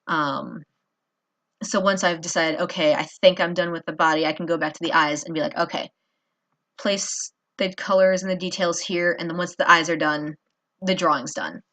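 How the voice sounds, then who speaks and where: A tired woman talks through her digital painting in a low, easygoing voice. She rambles, trails off and fills pauses with ums while she works. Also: steady tone, a bit bright. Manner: rambling, self-deprecating, many fillers. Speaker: art tutorial host. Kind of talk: casual drawing tutorial narration.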